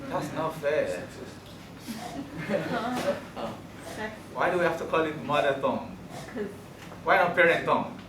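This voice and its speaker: A man's voice: a little high, sing-song, takes it slowly, long pauses, distant mic, background noise. A man whose first language is Yoruba, teaching a classroom math lesson with jokes, often checking that his students follow. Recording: roomy classroom recording from afar.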